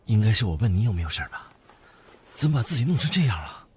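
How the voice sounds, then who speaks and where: A man acting a protective, tenderly possessive boyfriend in an audio drama, murmuring softly and intimately. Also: lowish voice, sing-song, fast, slightly rough, full character voice. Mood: worried. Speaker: voice actor. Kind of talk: Chinese boys' love audio drama acting.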